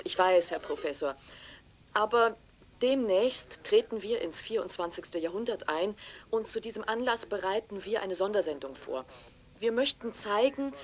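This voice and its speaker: A woman's voice: medium pitch, expressive, normal speed, natural voice. A woman acting a deferential, eager young television reporter in a radio drama, courteous and persuasive. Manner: courteous, persuasive, formal, sometimes hushed.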